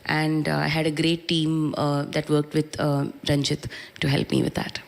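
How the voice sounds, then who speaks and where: A woman's voice: deep voice, level tone, moderate pace, creaky, a little hiss or hum. An Indian woman in her thirties with an Indian English accent, answering questions at a press conference in a reflective way, with many restarts. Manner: reflective, with frequent restarts and fillers. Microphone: handheld microphone.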